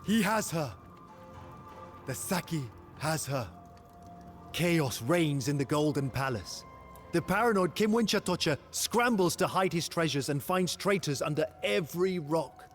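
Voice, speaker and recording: An actor playing a passionate, grave war commander and devoted lover, narrating a game cutscene in a solemn, theatrical way. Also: male voice, a little high, expressive, a little slow. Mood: anguished. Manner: solemn, theatrical storytelling, intense. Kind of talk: dramatic scripted first-person game cutscene narration. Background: music.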